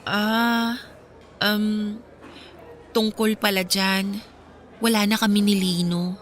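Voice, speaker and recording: A woman's voice: mid-range voice, level tone, takes it slowly, slight background noise, natural voice. Actress as a subdued, weary young heartbroken woman. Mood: reluctant, resigned. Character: weary, resigned young woman confiding about her breakup. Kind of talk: Filipino radio drama dialogue.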